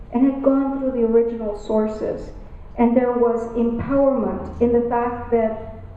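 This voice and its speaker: Brief: high-voiced woman, mellow tone, rhythmic oratory heard from far back in an echoey hall. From West Side of San Antonio, Texas. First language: Tex-Mex. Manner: rhythmic, repetitive oratory with emphatic rises. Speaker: speaker introducing a poet. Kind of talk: public introduction speech. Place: university lecture hall. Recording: distant, echoey, muffled hall recording.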